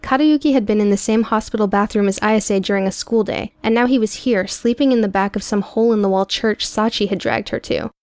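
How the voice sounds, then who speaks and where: A woman's voice: mid-range voice, a little fast, hardly any pauses, darkish tone, no echo. Woman narrating a story, dry and close. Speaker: audiobook narrator. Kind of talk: scripted prose narration.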